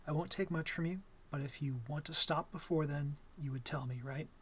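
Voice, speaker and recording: A man playing a shy, awkward young vampire in an intimate ASMR roleplay, gentle and smitten, speaking softly and hesitantly with stammers and apologies. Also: a little high, brisk, a little hiss or hum, natural voice. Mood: careful, intimate.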